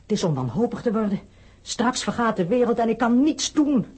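A woman playing an excitable, good-hearted boy reporter in a Dutch radio play, stammering when flustered. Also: on the high side, fairly quick, soft background music, lightly acted. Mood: panicked.

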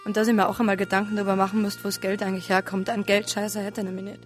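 An actress with a Bavarian accent playing a troubled wife confessing a hard youth in a naturalistic radio drama, plain-spoken and colloquial. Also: female voice, medium pitch, little pitch movement, very fast, faint music behind, lightly acted. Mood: bitter recollection.

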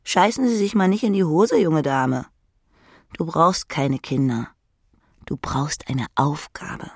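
A woman's voice: sits low, slow, no room sound, studio quality, exaggerated voice. A woman voicing a jaded, sarcastic columnist in her mid-thirties, giving herself a sardonic inner talking-to. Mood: scolding. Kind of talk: literary second-person monologue.

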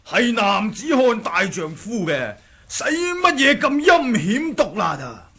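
An actor playing a brash, mocking kung fu fighter in a Cantonese radio drama, husky and theatrical. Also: male voice, extremely high pitch, big pitch swings, slow, bright, forceful tone, full character voice. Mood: indignant. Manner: theatrical, taunting, with cackling flourishes. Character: brash mocking martial-arts fighter of the Ming sect.

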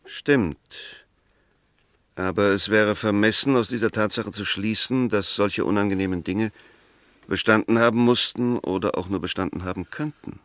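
Male actor as English defence barrister, 1960s radio drama: lowish voice, formal, firm, methodical emphasis, very dry sound. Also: normal speed, a bit bright, no echo, lightly acted. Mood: firm, emphatic. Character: Mr. Oliver, firm, reasoning English defence lawyer. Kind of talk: 1960s German radio play, formal debate.

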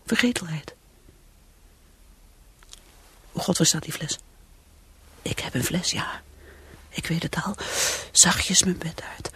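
A woman's voice: unhurried, pushed and bright, exaggerated voice. An actress playing a troubled, self-doubting alcoholic woman who craves peace, in an intimate, wavering, confiding radio play monologue. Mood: confiding, restless. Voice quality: breathy, often whispering. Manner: confiding, wavering, highly emotional.

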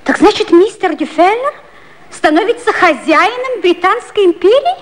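An actress playing an outspoken, excitable female servant in a satirical 1950s radio play, on a noisy old recording. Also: extremely high pitch, moderate pace. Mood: eager, pert. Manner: excitable, emphatic.

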